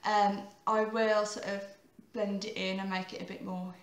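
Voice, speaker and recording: A woman in her thirties with a croaky voice, giving a chatty, rambling makeup tutorial and often correcting herself. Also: mid-range voice, flat delivery, moderate pace, roomy sound. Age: 30s.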